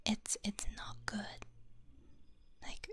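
A woman with a Hungarian accent, whispering softly and gently rambling through a binaural ASMR stream chat. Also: extremely slow. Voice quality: soft breathy whisper. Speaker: VTuber streamer. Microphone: binaural mic.

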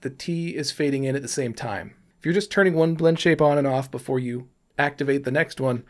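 A man giving informal, explanatory tutorial narration in an easygoing, loose way. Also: on the high side. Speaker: tutorial presenter, animator.

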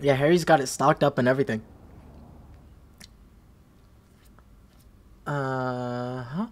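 A man voicing a shy, smitten young college student in a roleplay audio, halting and flustered as he talks. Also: medium pitch, fairly even intonation, lightly acted. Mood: friendly. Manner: halting, flustered stammering with nervous laughs.

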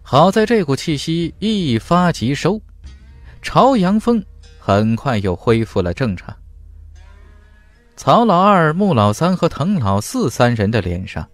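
A man's voice: a little high, big pitch swings, a little slow, no echo. A man narrating a fantasy audiobook in a dramatic, scripted style over music. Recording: dry studio voice over music.

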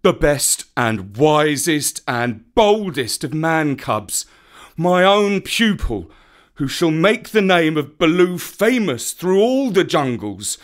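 Storybook role: a man voicing a kindly old bear, earnest, a bit flustered, high and animated. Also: takes it slowly. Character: old, good-natured male bear teacher.

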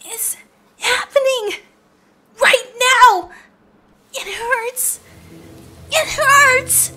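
A woman playing a deranged, possessive vampire ex-girlfriend in an ASMR horror roleplay, theatrical and drawn-out, with sugary endearments. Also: very high voice, pushed and bright, heavily acted. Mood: pained, strained. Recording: noisy background ambience.

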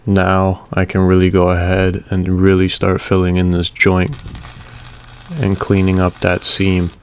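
A man casually narrating a welding repair video in a relaxed, matter-of-fact way. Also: low-pitched, a little slow, smooth.

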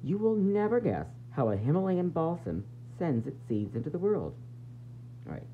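A man reads aloud to small children in a teacherly, playful way. His pitch swings widely as he stresses words for drama and slips in asides. The sound is slightly dull and lo-fi, with a faint noise behind it. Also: very animated, moderate pace. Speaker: teacher reading a picture book aloud. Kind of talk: animated read-aloud for young children.

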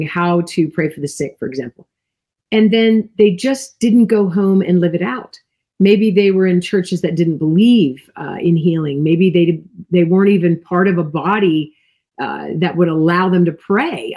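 Woman, 60s, husky and lowish voice, fervent ministry-speaker manner, webcam call. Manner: emphatic, expressive, fervent preaching tone. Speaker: guest, healing minister and author. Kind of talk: animated testimony chat. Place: home office with bookshelves. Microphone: video call webcam.

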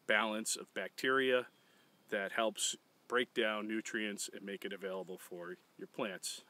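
A man's voice: fairly low pitch, pushed and bright. A man in his forties, a farmer, talking plainly about his farm in an outdoor interview, with a good number of fillers. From Massachusetts. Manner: plain, matter-of-fact, with frequent fillers. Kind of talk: casual interview talk.

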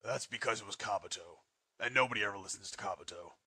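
A man voicing a menacing, short-tempered teenage ninja, curt and threatening, with a husky voice in a parody cartoon dub. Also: lowish voice, fast talker, pushed and bright, no echo, full character voice. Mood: irritated.

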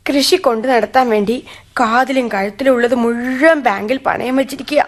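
Woman doing anxious naggy kerala mom, radio drama, really high voice. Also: a little fast, lightly acted. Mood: worried. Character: anxious, scolding village mother of a grown son. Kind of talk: Malayalam radio drama acting.

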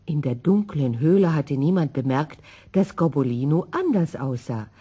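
A woman narrating a scripted children's story in an expressive, dramatic manner. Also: sits low, normal speed, slightly rough.

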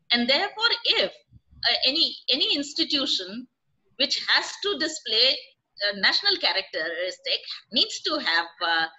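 A woman's voice: on the high side, pushed and bright, low-quality recording. A middle-aged woman professor speaking on a panel over a video call from a room at home, thoughtful and hesitant, with many fillers and restarts. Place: room at home with orange curtains. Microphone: laptop webcam on video call.

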